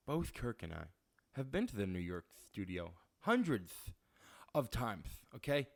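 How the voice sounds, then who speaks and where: A man's voice: on the low side, very animated, measured pace, creaky. A young man co-hosting a comedy podcast, joking around and reading sponsor copy with a playful put-on lisp. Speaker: podcast co-host. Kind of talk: casual comedic chat.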